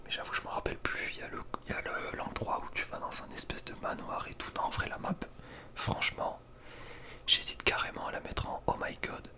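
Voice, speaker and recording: A young man speaks in a soft breathy whisper, giving casual, slangy ASMR gaming commentary with a hesitant delivery. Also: fairly quick. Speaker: ASMR video creator.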